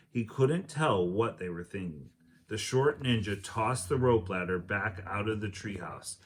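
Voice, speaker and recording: Low-voiced man reading a children's book aloud. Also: slight background noise. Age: adult. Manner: expressive, acts out dialogue.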